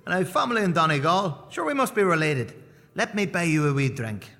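Brief: a sly, overly friendly man, lively voice, live stage reverb. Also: on the high side, brisk, exaggerated voice. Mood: chummy. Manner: chummy, ingratiating. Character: friendly but sly man luring a stranger.

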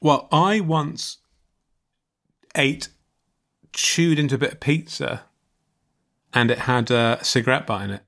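A man's voice: medium pitch, a little slow, gravelly, long pauses, a bit bright. A man co-hosting a comedy chat, telling stories in a rambling, self-mocking way. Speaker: podcast co-host. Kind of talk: comic storytelling chat.

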